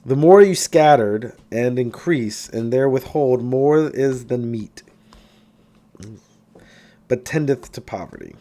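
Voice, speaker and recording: A man reading a self-help book aloud in an expressive way, occasionally stumbling and going back over a phrase. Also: medium pitch, takes it slowly, pauses often. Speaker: audiobook reader.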